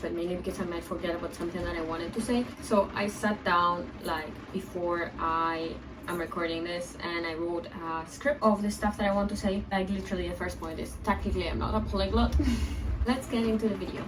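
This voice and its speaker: A woman's voice: on the low side, fairly even intonation, brisk. Young woman chatting, distant noisy recording. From Basque Country, Spain. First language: Basque. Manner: informal, rambling, frequent "like" asides. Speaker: vlogger. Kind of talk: casual chat to camera. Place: indoors at home, under a skylight. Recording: distant mic, clearly noisy room, rainstorm. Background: storm rain noise.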